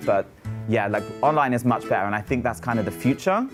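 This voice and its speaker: A man's voice: medium pitch, fast, soft background music. A man, a guest chatting casually with lots of fillers and a sassy edge. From England. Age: 30s. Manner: relaxed, filler-heavy, sassy. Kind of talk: casual interview chat.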